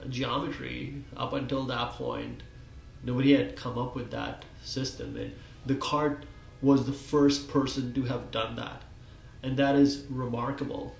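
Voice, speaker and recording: A man in his forties with a croaky voice from a cold, talking at a whiteboard in a small office. He speaks haltingly, with many fillers and restarts, and the sound comes from a desk webcam. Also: mid-range voice, echoey room, a little hiss or hum, low-quality recording. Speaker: self-taught presenter. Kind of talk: rambling solo talk at a whiteboard.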